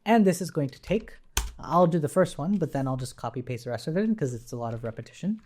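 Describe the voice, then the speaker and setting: A man's voice: a little high, lively intonation, fast, darkish tone, slight background noise. A man giving a casual live coding tutorial, thinking aloud in an informal, explanatory way as he works. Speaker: programming tutorial instructor.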